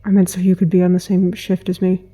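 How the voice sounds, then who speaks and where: An actress playing a stiff, guarded security officer in an audio drama, speaking in clipped, deadpan phrases. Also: female voice, fairly low pitch, fast talker, close to an everyday voice. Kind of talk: naturalistic audio drama acting.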